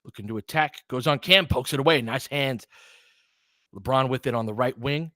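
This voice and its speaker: A man's voice: mid-range voice, moderate pace, pushed and bright, dry recording. A man with a mid-range voice hosts a live basketball stream. He calls plays in an informal, conversational way and addresses his viewers directly. The sound is close and dry, with very little room in it. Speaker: livestream host and play-by-play commentator. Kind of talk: casual live basketball commentary mixed with chat to viewers.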